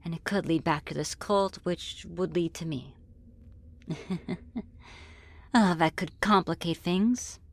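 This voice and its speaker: Friendly demoness woman acting for ASMR roleplay: low pitch, gentle and flirty, hesitant, reassuring delivery. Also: takes it slowly, pauses often. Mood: intrigued. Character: friendly, flirty, apologetic female demon ruling her own realm. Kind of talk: ASMR roleplay spoken to the listener.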